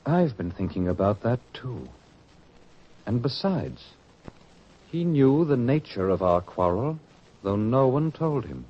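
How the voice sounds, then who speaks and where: A man's voice: mid-range voice, sing-song, takes it slowly, long pauses. A man playing a grave, principled disciple of Jesus in an old radio drama, with theatrical, formal diction. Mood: awed, realizing. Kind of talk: 1940s radio drama acting. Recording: dull old radio recording.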